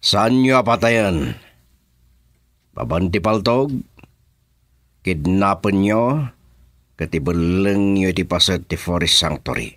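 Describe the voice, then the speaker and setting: A man with an Ilocano accent playing a menacing, quick-tempered crime boss in a radio drama, speaking in a commanding, threatening way. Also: low voice, lively intonation, a bit bright, no room sound, studio quality, exaggerated voice.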